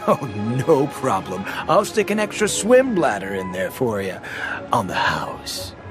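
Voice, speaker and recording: An actor playing a sly, easygoing fish seller in a video game, with a folksy, laid-back way of talking. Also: male voice, medium pitch, wildly swooping pitch, unhurried. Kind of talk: video game cutscene dialogue. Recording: game audio with background music.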